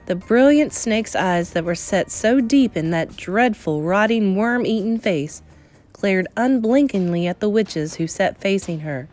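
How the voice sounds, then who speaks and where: A woman reading a children's novel aloud as dramatic narration, with suspenseful emphasis and deliberate pauses. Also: medium pitch, lively intonation, a little mellow, soft background music. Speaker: storybook reader.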